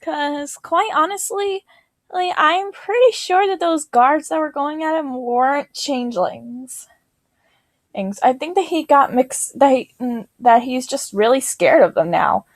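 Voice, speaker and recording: Excitable high-voiced woman reacting. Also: a little slow, dry recording. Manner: excitable, exclamatory. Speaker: reaction video host. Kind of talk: casual reaction commentary.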